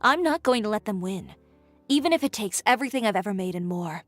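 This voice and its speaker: An actress playing a proud, blunt and determined young fashion designer in a video game. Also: female voice, medium pitch, animated, fast talker, fairly bright tone, soft background music, natural voice.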